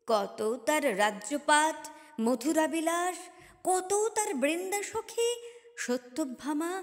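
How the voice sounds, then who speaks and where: Woman, high-pitched, very slow dramatic poetry recitation, emotive phrasing, deliberate pauses. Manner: emotive, theatrical delivery with deliberate pauses and swells. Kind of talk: dramatic Bengali poem recitation. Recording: long hall-like reverb on voice.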